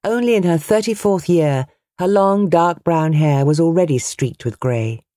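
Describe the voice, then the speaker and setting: A woman's voice: lowish voice, a little slow, mellow, no room sound, clean studio sound. A woman reading a novel aloud as an audiobook narrator, in a dramatic storytelling style.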